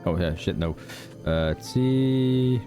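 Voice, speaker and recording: A man's voice: lowish voice, expressive, slow. A man streaming a game playthrough in a casual, informal way, commenting and reading text aloud. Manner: informal, sweary. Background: game music.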